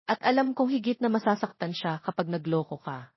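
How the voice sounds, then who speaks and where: A woman's voice: mid-range voice, expressive, brisk, slightly rough, no room sound, muffled recording. A woman voicing a stern, protective middle-aged mother scolding her grown son in an audio drama. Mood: indignant.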